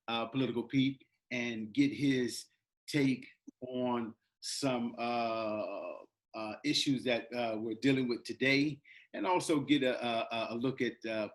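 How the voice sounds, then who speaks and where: Halting middle-aged man hosting an interview. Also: mid-range voice. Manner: halting, many fillers. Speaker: interview host. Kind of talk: casual interview.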